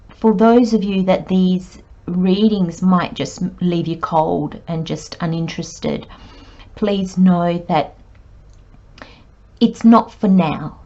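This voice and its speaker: Woman tarot reader, croaky voice, lowish pitch, slow and meandering, dull lo-fi recording. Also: mellow. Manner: unhurried, meandering with many pauses and fillers. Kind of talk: spoken tarot reading monologue.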